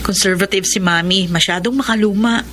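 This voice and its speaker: An actress playing a grown Filipina daughter in a radio drama, apologetic yet unyielding, with a Filipino accent. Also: female voice, mid-range voice, brisk, lightly acted. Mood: confiding, assured. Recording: faint background noise.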